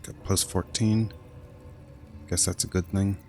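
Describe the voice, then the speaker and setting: A man's voice: deep voice, steady tone, measured pace, music in the background. A man giving casual live gameplay commentary as a streamer, rambling and thinking aloud. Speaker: game streamer.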